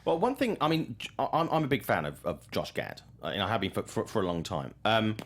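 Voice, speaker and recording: A man's voice: on the low side, big pitch swings, fast. A man hosting a movie news talk show, chatting casually in an informal, wry and blunt way. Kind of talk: casual panel chat.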